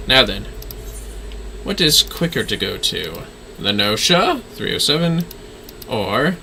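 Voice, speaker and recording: A man's voice: mid-range voice, sharp tone, slight background noise. A man chatting casually as a video game streamer, informal and often muttering to himself. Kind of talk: casual livestream gaming chat.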